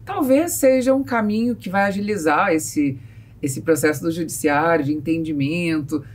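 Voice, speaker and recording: A woman's voice: fairly low pitch, moderate pace. A woman in her forties with a Brazilian accent, talking conversationally as host of a podcast discussion. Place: small foam-padded podcast studio. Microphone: close studio mic on boom arm.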